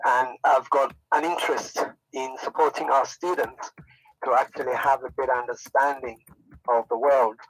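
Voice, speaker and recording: Middle-aged man, from Zambia, guest academic, relaxed unscripted talk with fillers, dry recording. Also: medium pitch, normal speed, slightly rough, dry recording, rough recording. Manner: friendly, informal, with frequent fillers and restarts. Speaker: guest speaker, university department head. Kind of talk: unscripted presentation.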